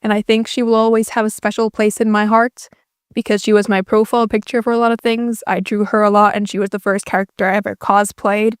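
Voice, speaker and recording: A woman's voice: medium pitch, flat delivery, fairly quick, smooth, dry recording. Young Finnish-native woman YouTuber, casual Q&A voice-over, self-mocking, dry close mic. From Finland. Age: young adult. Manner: self-deprecating, dry jokes.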